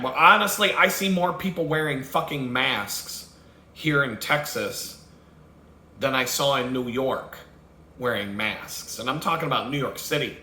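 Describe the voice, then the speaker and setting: A man vents in a blunt, profane monologue, swearing freely and chuckling at his own jokes. His voice is bright and sits at a middle pitch. The room echoes around him, with a faint hum of background noise. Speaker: vlogger host. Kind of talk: profane rambling rant.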